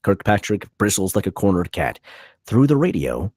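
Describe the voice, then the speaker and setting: A man's voice: low-pitched, sing-song, normal speed, a little mellow, husky, dry recording, clean studio sound. A man narrating stage directions at a script table read, with vivid, punchy dramatic delivery. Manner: vivid, punchy reading of action.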